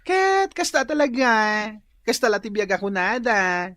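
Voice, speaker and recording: A woman acting as an effusive village neighbour with a high voice and Ilocano accent. She draws out her words generously in broad radio drama style. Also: very animated, measured pace, fairly bright tone.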